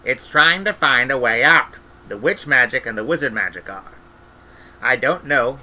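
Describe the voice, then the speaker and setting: Man voicing a snappish old witch granny, sharp and bright. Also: medium pitch, expressive. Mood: explaining. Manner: brisk, dismissive, scolding.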